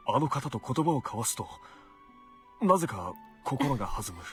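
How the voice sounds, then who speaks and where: A man voices a loyal, troubled knight in a fantasy visual novel. He speaks formally and haltingly in a medium-pitched voice, with faint music underneath. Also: very animated, lightly acted. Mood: troubled, earnest. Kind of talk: Japanese visual novel voice acting.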